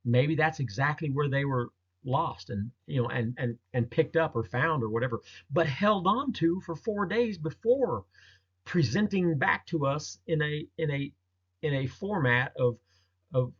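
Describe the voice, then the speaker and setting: A man with a mid-pitched voice talks through pictures and stories for his viewers. His delivery is casual and halting, wandering along and often repeating a word before moving on. The recording is a little muffled and lo-fi. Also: lively intonation. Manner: rambling, halting, repeats words. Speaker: host of Bigfoot research channel.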